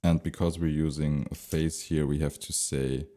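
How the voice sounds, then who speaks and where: A man giving an unscripted, step-by-step software tutorial, thinking aloud with some hesitation as he explains. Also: very deep voice, fairly even intonation, moderate pace. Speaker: tutorial presenter.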